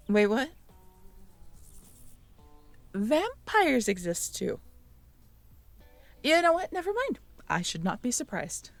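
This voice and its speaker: A young woman talks slowly and haltingly, stumbling over her words as she speaks straight to the listener. Her voice sits a little high. Faint music plays beneath her. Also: wildly swooping pitch, no room sound. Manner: hesitant, stammering, soft-spoken. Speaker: audio drama performer speaking to the listener. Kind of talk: intimate audio roleplay.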